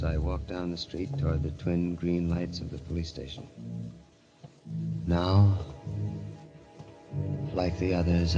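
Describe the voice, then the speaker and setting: An actor playing a doomed, grimly resigned man confessing slowly in a 1940s radio drama. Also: sits low. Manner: slow, grim, deliberate. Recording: dull vintage radio recording under music.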